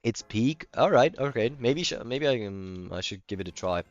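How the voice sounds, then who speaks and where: A man's voice: medium pitch, lively intonation, normal speed, no echo. The guy you would hear on a late gaming livestream, a native German speaker with a German accent answering chat in a clean voice, through a close, slightly muffled mic. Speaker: livestreamer playing a video game. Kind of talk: casual chat, replying to stream comments.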